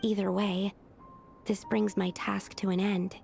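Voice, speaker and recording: A woman voicing a curious young female alchemist in a video game, explaining in a thoughtful, measured way. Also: medium pitch, flat delivery, creaky, darkish tone, soft background music.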